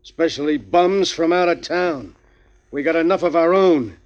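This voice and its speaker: An actor playing a gruff, scornful police officer who barks orders, in 1940s radio acting. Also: male voice, a little high, moderate pace, natural voice. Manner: barking, contemptuous orders. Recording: dull lo-fi 1940s radio recording.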